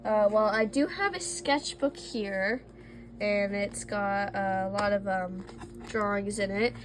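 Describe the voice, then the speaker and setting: A woman's voice: mid-range voice, slow, a little hiss or hum. A young woman, a little congested from allergies, chatting casually and self-deprecatingly as she shows her old drawings. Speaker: art vlogger. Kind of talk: casual sketchbook tour.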